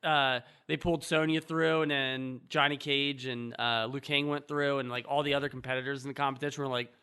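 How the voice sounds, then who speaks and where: A man's voice: mid-range voice, steady tone, a little fast, bright, forceful tone, clear, resonant tone. A man co-hosting a film podcast in casual chat, mockingly acting out bits of imagined dialogue as he goes. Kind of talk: casual group chat about a movie.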